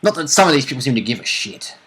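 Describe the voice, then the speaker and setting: Sweary man with swooping, animated pitch. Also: racing, slightly rough. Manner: sweary, blunt, mock-indignant. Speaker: co-host of a tabletop role-play vidcast. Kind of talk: casual banter and short interjections.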